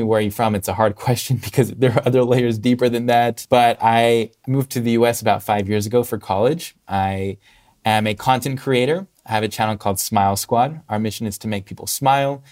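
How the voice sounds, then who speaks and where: A young man in his twenties speaks as a guest on a podcast. He grew up in Russia, Spain and Hong Kong. His voice sits fairly low, and he sounds upbeat and earnest. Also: fairly even intonation, fairly quick, darkish tone. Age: 20s. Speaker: podcast guest, content creator. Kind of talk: podcast interview.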